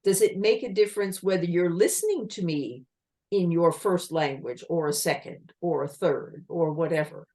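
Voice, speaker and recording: A woman giving an online communication talk with slides, explaining in a lively manner and asking her listeners rhetorical questions over a video call. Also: lowish voice, moderate pace. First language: English.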